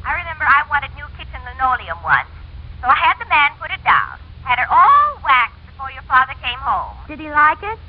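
A woman's voice: high voice, huge pitch swings, fairly quick. An actress playing a genteel, chatty woman in an old-time radio family sitcom. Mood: bright, confiding. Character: genteel, animated woman in domestic family comedy. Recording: muffled, faintly noisy old radio recording.

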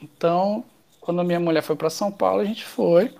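A man's voice: high-pitched, fairly quick, vocal fry, darkish tone. A man with a Carioca accent, a political candidate answering interview questions with many hesitations. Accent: Carioca Portuguese. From Rio de Janeiro, Brazil. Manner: hesitant, many fillers and restarts. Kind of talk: interview conversation.

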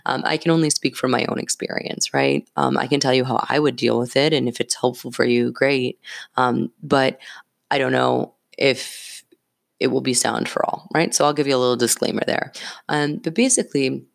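Female podcast host, casual solo monologue, candid and emphatic, gravelly vocal fry, slightly scratchy. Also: very deep voice, steady tone, normal speed. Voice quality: slightly scratchy early-morning voice.

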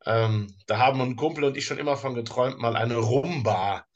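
Man, German accent, podcast co-host, casual, hesitant, slow in stretches. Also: medium pitch, muffled recording. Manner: hesitant, many fillers and restarts, slow in places.

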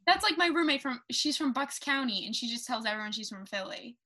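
A woman's voice: fairly high pitch, expressive, a little fast, sharp tone. A woman in casual conversation, mostly chiming in with short agreeing remarks. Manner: brief agreeing interjections. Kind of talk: casual chat.

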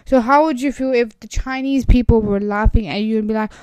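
A young woman with an Urdu accent talks on her own podcast in a loose, rambling way, leaning on "like" and restarting her sentences. Her voice sits a little high and sounds smooth and clear. The recording is close and dry, with no room sound. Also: fairly even intonation, fairly quick, a little mellow, no room sound. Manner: rambling, frequent "like" fillers and restarts. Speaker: podcast host. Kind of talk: casual solo podcast monologue.

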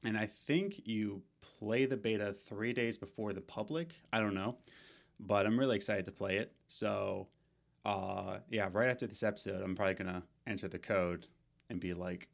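Young male podcast co-host, slightly low voice, loose rambling delivery with fillers. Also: moderate pace, vocal fry. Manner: rambling, many fillers and restarts. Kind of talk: casual gaming podcast chat.

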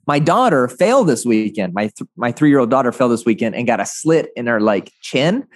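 A man's voice: mid-range voice, sing-song, normal speed, no echo, clean studio sound. A man speaking as a guest in a conversational interview, sharing advice and anecdotes and often checking in with "right?". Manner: frequent "right?" tag questions. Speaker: interview guest, agency founder.

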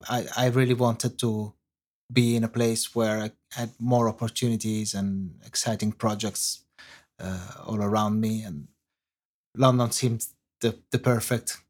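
Man with an Italian accent. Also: mid-range voice. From Italy. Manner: halting, searching for words in English. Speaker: guest composer. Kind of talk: podcast interview answers.

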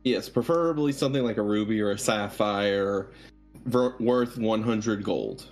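A man playing a tabletop game, recounting what his character did in deliberate, measured phrases. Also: lowish voice, lively intonation, darkish tone, soft background music.

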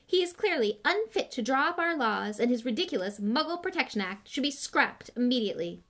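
A woman's voice: mid-range voice, normal speed, close to an everyday voice. A woman voicing a formal, pompous, indignant newspaper report in an audiobook, declaiming each statement. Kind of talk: audiobook read-out of a news report.

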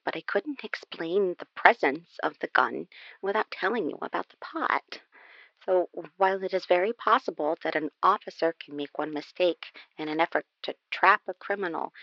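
A woman's voice: fairly low pitch, gravelly, fairly bright tone, dry recording, highs cut off, full character voice. An actress reading a confessional letter as an apologetic, confiding convicted woman in a dramatic audio reading.

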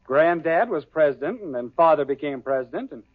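An actor playing a polite, mild-mannered wealthy young heir in a radio sitcom, hesitant and stammering. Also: male voice, on the high side, animated, brisk, no room sound. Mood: courteous. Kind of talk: 1940s radio sitcom acting. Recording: thin, tinny, dry old radio recording.

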